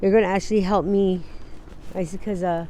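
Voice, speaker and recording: A woman in her forties from Wyoming, telling her story in a halting, rambling way during an outdoor street interview. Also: mid-range voice, steady tone, warm tone. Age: 40s. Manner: rambling, halting, laughs easily.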